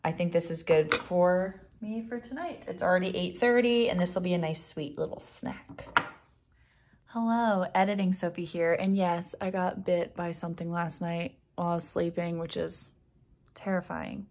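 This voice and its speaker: A woman's voice: fairly low pitch, fairly even intonation, normal speed, a little mellow. A young woman chatting casually to viewers in an upbeat vlog, talking while she eats in her home kitchen. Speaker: vlogger.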